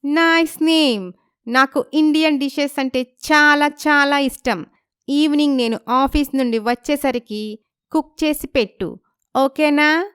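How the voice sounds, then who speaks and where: A woman's voice: high voice, measured pace, fairly bright tone, dry recording, clean studio sound, pitched above the performer's own voice. A woman voicing a demanding, bubbly young wife in a story, bossy and mixing in English phrases. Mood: bright, bossy.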